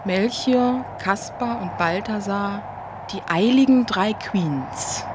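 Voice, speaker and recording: A woman's voice: mid-range voice, takes it slowly, background noise, close to an everyday voice. A woman narrating a comic radio play as a scripted story. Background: music.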